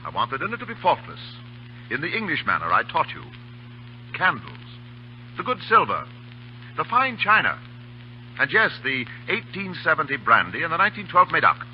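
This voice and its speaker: Smooth oxford-schooled afghan khan, old radio drama, very animated, dull noisy sound. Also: male voice, a little high, moderate pace, pauses often. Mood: amused, commanding. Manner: urbane, theatrical, mockingly courteous. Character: suave, amused Afghan khan, Oxford-educated warlord. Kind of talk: 1930s radio acting.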